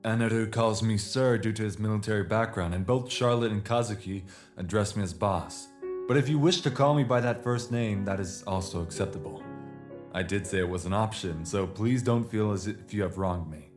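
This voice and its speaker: A man playing a courteous, formal leader of a secret organization in an audio drama, speaking in a measured, polite way. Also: lowish voice, music in the background, natural voice. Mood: calm, explanatory.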